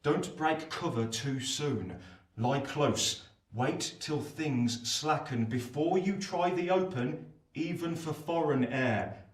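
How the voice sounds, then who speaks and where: A discreet, careful clerk in a radio drama, with a lightish, higher voice. He speaks in measured, emphatic circumlocutions. The recording is echoey and set back from the microphone. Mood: careful, confidential. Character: cautious, discreet male clerk giving careful advice. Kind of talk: radio play acting. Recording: roomy echo, distant from mic.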